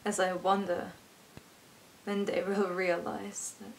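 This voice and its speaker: A woman performing her own poem as a dramatic, earnest spoken-word recital, with expressive delivery. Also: medium pitch, takes it slowly, vocal fry, slight background noise. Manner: expressive, earnest, swinging between hushed and intense delivery.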